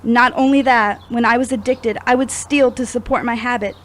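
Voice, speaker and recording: A woman's voice: fairly high pitch, steady tone, moderate pace, lightly acted. A woman playing a wounded, confessional young woman in her twenties in an audio drama, speaking in an earnest, confiding way. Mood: somber confession. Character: wounded, confessional twenty-four-year-old woman, recovering addict. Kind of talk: scripted audio drama acting.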